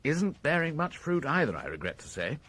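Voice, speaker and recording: A British police inspector in a radio crime drama. His voice is slightly husky and moves freely up and down, yet his delivery stays measured and formal. He sets out each point with courteous, methodical precision. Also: on the high side, big pitch swings, fairly bright tone, no room sound. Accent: British English.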